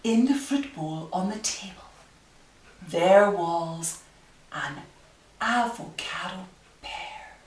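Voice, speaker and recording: A woman reading a children's picture book aloud expressively, with dramatic emphasis and drawn-out key phrases. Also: lowish voice. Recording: roomy echo, distant microphone.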